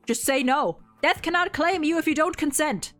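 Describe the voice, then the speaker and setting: A woman with a fairly high voice hosts a casual livestream discussion. She is animated and blunt, swears easily, and speaks over faint music. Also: normal speed. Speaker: host ranking game story quests with a guest.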